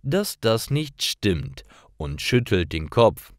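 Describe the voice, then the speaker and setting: A man reading scripted stories for language learners in a careful, clearly phrased storytelling manner. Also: lowish voice, big pitch swings, slow pace, dry recording, clean studio sound. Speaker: narrator of German learner stories.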